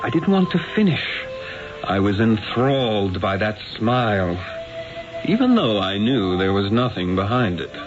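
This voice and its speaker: An obsessed Renaissance painter in a radio play. The voice is fairly low and unhurried, rapturous and musing in a theatrical way. The recording is old and muffled. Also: male voice, sing-song, over music. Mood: musing, enthralled. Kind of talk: radio drama acting and reflective first-person narration.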